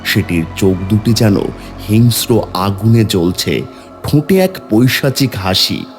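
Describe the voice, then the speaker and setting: A man's voice: on the low side, very animated, a little slow, faint music behind. A man giving dramatic, scripted narration of a horror story.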